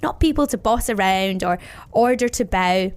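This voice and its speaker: A woman narrating a children's story as an expressive storyteller. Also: mid-range voice, normal speed, smooth.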